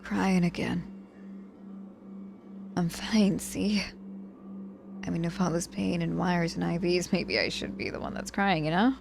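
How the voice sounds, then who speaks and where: A woman acting a proud, guarded young witch hurt in hospital, halting and grudgingly tender, in an intimate ASMR audio roleplay. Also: lowish voice, vocal fry, music in the background. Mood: teasing.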